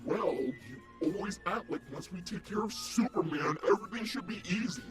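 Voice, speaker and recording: A man playing a hot-headed, whiny supervillain in a comedic radio play, slangy, mocking and excitable. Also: sits high, moderate pace, soft background music. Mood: sulky, mocking.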